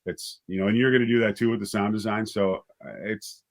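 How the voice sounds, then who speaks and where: Male, low-pitched voice, relaxed interview guest; a writer-director chatting through anecdotes. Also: a little fast. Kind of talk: casual interview chat.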